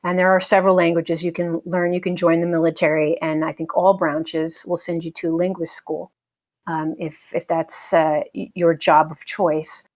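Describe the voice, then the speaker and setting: A middle-aged woman with a slightly low voice talks as an interview guest. She answers in a relaxed, thoughtful way, filling pauses with little hesitations and easy laughs. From United States. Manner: thoughtful, with frequent fillers and light laughs. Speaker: interview guest, helicopter pilot. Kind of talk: casual conversational interview.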